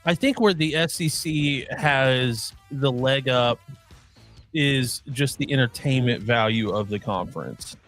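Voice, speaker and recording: A man's voice: mid-range voice, unhurried, fairly bright tone, faint music behind. A man from the Midwest with a mix of Midwestern and Oklahoma accent, co-hosting a casual sports chat and rambling with many restarts. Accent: mixed Midwestern and Oklahoma. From Midwestern United States. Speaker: co-host.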